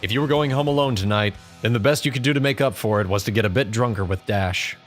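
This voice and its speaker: A man's voice: lowish voice, quick pace, faint music behind. A man narrating a scripted audio drama as storyteller.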